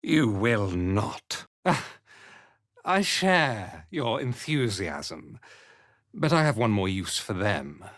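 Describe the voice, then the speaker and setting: An actor playing a haughty, ruthless cult commander in a video game, speaking in an imperious, deliberate, disdainful manner. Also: male voice, fairly low pitch, very animated, a bit bright, no room sound, studio quality, heavily acted. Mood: cold, sly. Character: haughty, ruthless male cult commander, contemptuous slave driver. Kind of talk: video game character acting.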